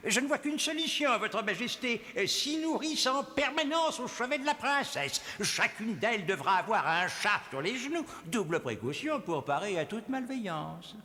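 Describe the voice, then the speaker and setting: A man's voice: high-pitched, big pitch swings, normal speed, hardly any pauses, sharp tone, full character voice. A man voicing an earnest, fussy royal astrologer in a cartoon, formal and precise. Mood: earnest, advising. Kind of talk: animated film voice acting. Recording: long hall reverb.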